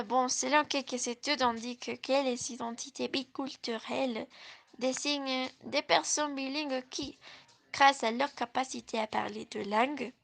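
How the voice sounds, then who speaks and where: A woman hosting a scripted interview, reading her questions slowly. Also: high-pitched, creaky. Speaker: host.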